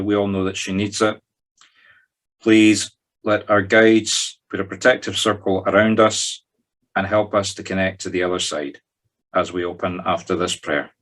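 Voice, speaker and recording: A man's voice: fairly low pitch, fairly even intonation, moderate pace, fairly bright tone. A Scottish man leading a guided meditation, talking listeners through each step in an informal, conversational way. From Scotland. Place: recorded in a group video call.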